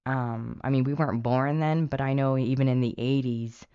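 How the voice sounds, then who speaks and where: Smooth, mid-pitched co-host. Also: steady tone, moderate pace, dark tone, dry recording, muffled recording. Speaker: podcast co-host. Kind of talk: casual film discussion.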